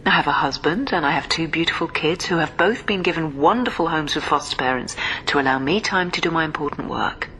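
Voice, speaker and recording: An actress playing a guarded, earnest woman intermediary in a radio drama, speaking in a measured way. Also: low-pitched, a little hiss or hum, rough recording. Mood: guarded, defensive. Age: 30s. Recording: radio drama with loud music behind.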